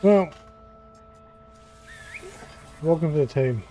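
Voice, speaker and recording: A man giving casual commentary as he plays a game, relaxed and thinking aloud. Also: a little high, slow pace, lo-fi sound, faint music behind. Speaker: gaming YouTuber.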